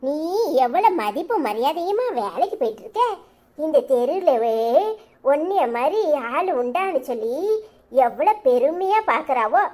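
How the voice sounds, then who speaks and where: A woman voicing a sharp-tongued old grandmother who scolds loudly in rapid tirades. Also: high voice, very animated. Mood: pleading.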